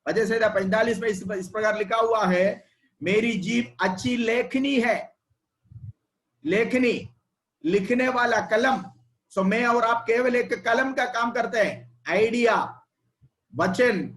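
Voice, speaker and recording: A man's voice: very high-pitched, a little slow, a bit bright. A man teaching a Bible study over an online call, repeating words, prompting a reader and checking that he is heard.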